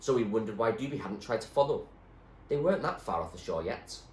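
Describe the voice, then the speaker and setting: A man giving an expressive narration of a storybook, like a teacher reading aloud. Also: fairly low pitch, fairly quick. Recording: echoey room, faint background noise.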